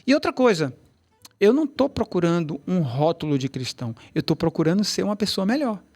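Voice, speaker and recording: A man's voice: sits high, big pitch swings, brisk, darkish tone. A middle-aged man, a tai chi teacher, telling long rambling stories in a casual interview, with many restarts and asides. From Brazil, Bahia.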